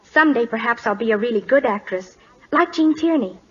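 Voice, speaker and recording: A woman's voice: fairly high pitch, lively intonation, fairly quick, husky. A young woman in her late teens as a radio guest, eager and polite in a scripted 1940s interview. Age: teens, seventeen. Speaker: guest starlet. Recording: muffled old-time radio recording.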